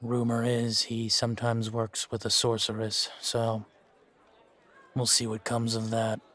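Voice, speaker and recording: Slow-talking, world-weary monster hunter for fantasy audio roleplay, male actor, flat delivery, laconic and musing. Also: medium pitch, close to an everyday voice. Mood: wary, dry. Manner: laconic, musing, measured with pauses. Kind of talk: fan-made roleplay audio drama, unhurried monologue to a companion.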